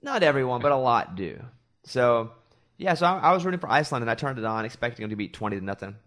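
A man of ordinary pitch hosting a casual podcast, talking in a loose, rambling way. He is from Arkansas and strings stories together with easygoing asides, in the relaxed manner of a morning show regular. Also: fast. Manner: rambling, chatty storytelling with self-deprecating asides. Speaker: radio morning show host.

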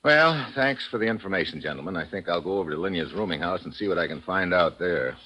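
A man's voice: low-pitched, expressive, fast, sharp tone, slight background noise, rough recording. A man with an American accent acting a brusque, wisecracking secret agent in a 1950s radio drama. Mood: puzzled, determined. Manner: brusque, sardonic, clipped questioning. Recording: 1950s radio recording with music.

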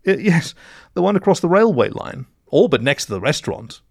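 Man voicing a jittery, high-pitched mole crook. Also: sing-song, a little fast, vocal fry, slightly rough, dry recording, studio quality, full character voice. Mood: anxious, confiding. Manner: stammering, flustered, pleading. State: sobbing. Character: nervous, tearful mole drug runner, male.

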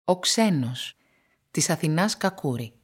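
A woman's voice: low-pitched, lively intonation, a little hiss or hum. A woman reading scripted credits as the announcer of a radio play. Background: jazz music with saxophone.

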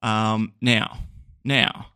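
A man's voice: fairly low pitch, level tone, drawn-out words, pushed and bright, clear, resonant tone, dry recording, studio quality. A young Australian man hosting a casual solo podcast, rambling and chatty with lots of ums and small laughs. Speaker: podcast host.

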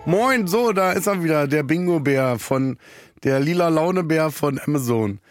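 A hoarse man, hosting a podcast in a rambling, self-mocking comic monologue full of halting fillers. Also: on the high side, lively intonation, soft background music. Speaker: podcast host.

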